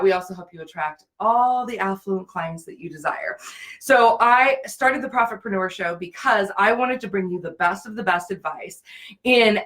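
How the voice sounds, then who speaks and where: A woman's voice: medium pitch, fairly bright tone. Woman hosting a live show. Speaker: business show host, brand and profit strategist.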